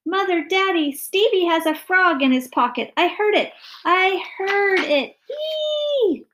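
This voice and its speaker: A whiny young girl, acted by a woman from a children's storybook, loud and insistent. Her voice is high and swoops around as she complains. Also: very animated, brisk, slight background noise. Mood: squealing alarm. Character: whiny, insistent young schoolgirl, easily squealing.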